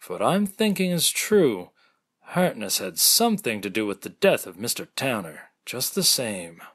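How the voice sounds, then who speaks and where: A man playing an earnest, suspicious hospital doctor in a radio mystery, with a dramatic, deliberate delivery. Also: mid-range voice, very animated, fairly bright tone, breathy edge. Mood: vindicated, assured. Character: earnest, suspicious male hospital doctor sure of his judgment. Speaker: actor in an amateur audio drama. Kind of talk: remake of classic radio mystery acting.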